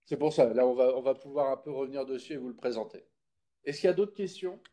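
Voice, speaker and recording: A man with a soft-edged, mellow voice presents at a public meeting. He explains in an informal, didactic style, talking to his listeners directly and checking that they follow. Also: mid-range voice, fast talker.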